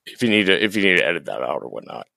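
A man's voice: a bass voice, very animated, very fast, gravelly, bright, forceful tone, no room sound, clean studio sound. A man co-hosting a casual Bible study podcast, explaining in meandering, stop-start sentences. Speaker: podcast co-host.

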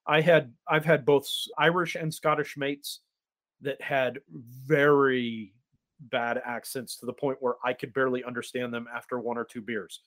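Man, middle pitch, affable, jokey, podcast co-host, casual chat. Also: flat delivery, a bit bright. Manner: affable, jokey, quick with affirmations.

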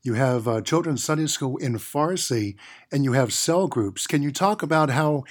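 A man's voice: mid-range voice, expressive, moderate pace. A man hosting a radio interview, asking questions and giving brief affirming interjections. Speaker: radio host.

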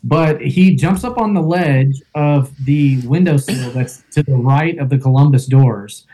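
A man with a loud voice, a guest in an interview, telling a first-person account with fillers like "um" and "you know". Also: medium pitch, unhurried, rough recording. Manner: storytelling with fillers and reenacted quotes.

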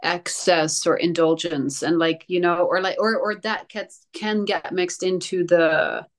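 A woman's voice: fairly low pitch, steady tone, unhurried. A woman from the Netherlands, a native Dutch speaker, talking reflectively and hesitantly in casual conversation, searching for words.

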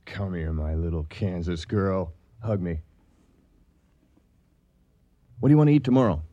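Excitable, food-obsessed cook, swooping voice. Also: male voice, deep voice, a little fast, highs cut off. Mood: soft, affectionate. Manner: emphatic, opinionated, excitable. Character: overweight food-obsessed male cook, passionate, touchy and theatrical. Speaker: actor in radio drama. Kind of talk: radio comedy-drama acting.

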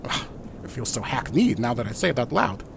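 Casting: fumbling, over-eager middle-aged trainee sleuth for noir comedy audio, very animated intonation, noisy rumbling recording. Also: male voice, a little fast, vocal fry. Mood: panicked, sheepish. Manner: flustered, theatrical. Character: eager, fumbling overweight man over fifty, would-be detective. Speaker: actor in an audio play. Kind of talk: comic noir radio play acting.

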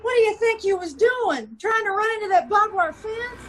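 A woman's voice: extremely high pitch, normal speed. A woman playing a chatty, slangy young female hitchhiker in an old-time radio drama. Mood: alarmed. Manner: slangy, folksy, exclaiming. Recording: video call recording.

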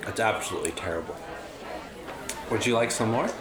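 An actor playing an excitable, eager stoner in a comedic radio play, with theatrical, exaggerated delivery. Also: mid-range voice, brisk, a crowd in the background, close to an everyday voice. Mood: playful. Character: Tommy, excitable eager man, bong-smoking yogurt lover. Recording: roomy echo under loud music.

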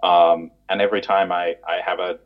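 A man's voice: sits low, flat delivery, fairly quick. A man with a New Zealand accent chatting casually as a podcast guest about his own experiences. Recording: dull, narrow lo-fi connection.

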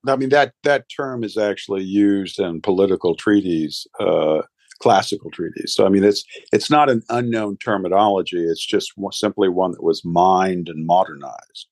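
Scholar guest, man, very low voice, halting with lots of fillers, dry close sound. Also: darkish tone, no room sound. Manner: hesitant, many fillers. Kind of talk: panel discussion.

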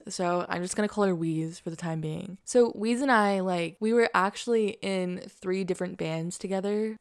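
A woman's voice: fairly low pitch, clean tone, dry recording, studio quality. A young woman hosting a podcast alone, rambling in casual, self-deprecating chat with a slight lisp. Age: young adult. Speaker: podcast host.

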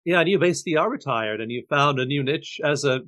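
A man in his sixties hosting, calmly guiding listeners to pause and reflect. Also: on the high side. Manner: calm, inviting listeners to pause. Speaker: host. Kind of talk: guided reflection.

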